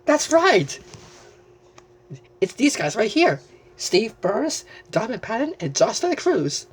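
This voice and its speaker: A man hosting a story show, presenting excitedly to viewers and often halting to repeat phrases. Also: very high voice, slightly rough.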